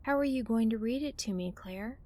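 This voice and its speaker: Actress as a wry, higher-voiced young woman. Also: a little fast, a little mellow, lightly acted. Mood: wry, teasing. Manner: wry, informal, teasing. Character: teasing, offhand young woman, curious and unchurched. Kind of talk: casual conversational audio drama acting.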